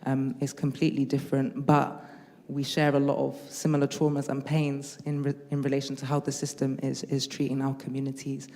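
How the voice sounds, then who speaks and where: A woman's voice: very deep voice, monotone, fairly quick, mellow. A woman from London with a London accent, speaking reflectively as a panelist. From London, England. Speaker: panel speaker, peacebuilding fellow. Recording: reverberant hall.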